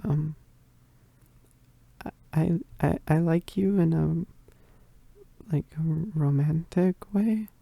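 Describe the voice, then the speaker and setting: A shy, easily flustered young female werewolf, acted by a woman in an intimate ASMR roleplay. She speaks low and slowly in a very dark, soft, breathy voice, stammering and often slipping into whispers right by a dry, close microphone. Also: no room sound, exaggerated voice. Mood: nervous, confessing. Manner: halting, stammering, bashful, often dropping into whispers.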